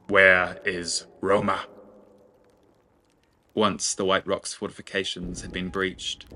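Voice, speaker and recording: Low, forceful male fantasy narrator. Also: slight background noise. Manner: literary, deliberate storytelling.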